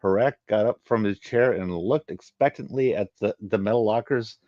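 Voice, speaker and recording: A man reading a sci-fi adventure story aloud in a halting way, stumbling and repeating words. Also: on the low side, dark tone, no room sound, lo-fi sound. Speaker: reader of a web story, channel host.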